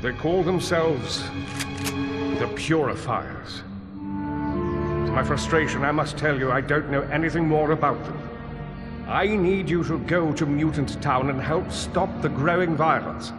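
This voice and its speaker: An actor playing a solemn, idealistic older mentor in a video game, narrating in a measured, formal, earnest way. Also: male voice, fairly high pitch, loud music behind the voice, close to an everyday voice. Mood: grave, earnest.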